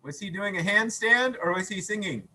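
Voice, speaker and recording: High, animated man reading to children. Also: normal speed, poor audio. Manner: playful, expressive, acts out sound effects. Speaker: read-aloud teacher.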